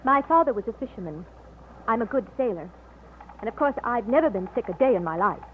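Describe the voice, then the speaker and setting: Tinny old radio play, woman acting a sweet bold young heroine, highish voice, earnest. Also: expressive, fairly quick, a little hiss or hum, lightly acted. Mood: affectionate. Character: tender, bold young bride-to-be in a romance.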